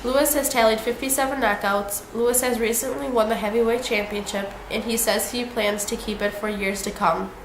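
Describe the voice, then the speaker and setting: A woman's voice: fairly high pitch, steady tone, moderate pace, hardly any pauses. A woman reading a scripted mock 1930s newscast as the news anchor. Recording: echoey room, faint noise.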